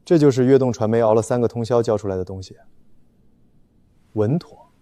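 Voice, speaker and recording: An actor playing a cold, demanding male corporate client in an animated workplace drama. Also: mid-range voice, a little fast, close to an everyday voice. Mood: stern.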